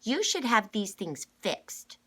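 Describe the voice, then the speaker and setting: A woman's voice: mid-range voice, sing-song, slow pace, sharp tone, husky, pitched above the performer's own voice, heavily acted. A woman voicing a cranky, stubborn little girl in a storybook, grumbling and curt.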